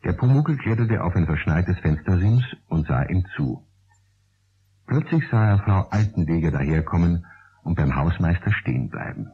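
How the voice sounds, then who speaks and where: A man's voice: low voice, animated, moderate pace, breathy edge. A man, narrating a radio play as storyteller. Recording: dull old radio play recording.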